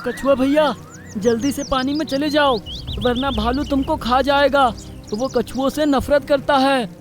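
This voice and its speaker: A man voicing an anxious, friendly young deer with a thin high voice in a children's cartoon story. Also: fairly even intonation, measured pace, music in the background. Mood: urgent, alarmed. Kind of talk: Hindi children's animated story.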